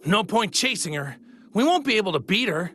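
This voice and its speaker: A man's voice: high voice, big pitch swings, brisk, fairly bright tone, slight background noise. A man voicing an earnest, plain-spoken martial-arts hero in a video game, with a boyish manner. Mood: serious. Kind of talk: animated video game voice acting. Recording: music behind the voice.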